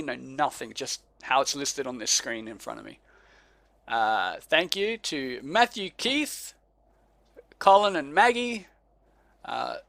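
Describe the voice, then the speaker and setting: An Australian man co-hosting a casual podcast, talking slowly and easily and chuckling over names he can't quite pronounce. His voice sits a little high for a man and sounds dry and close to the mic. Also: gravelly, fairly bright tone, dry recording. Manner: laid-back, chuckling, stumbles over names. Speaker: podcast co-host. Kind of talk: casual chat.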